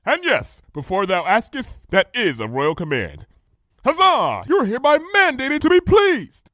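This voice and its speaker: A man voicing a regal, theatrical mare princess of the night who speaks in archaic "thou" and "dost" and booms her proclamations. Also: huge pitch swings, moderate pace, a bit bright, full character voice. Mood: grandly commanding.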